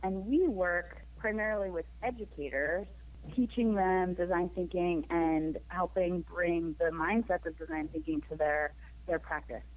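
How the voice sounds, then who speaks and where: Hoarse woman guest, lowish voice, explains with energy, sounds like a phone line. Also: measured pace. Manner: explanatory, upbeat. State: hoarse from a cold. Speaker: program manager, guest. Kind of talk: phone interview.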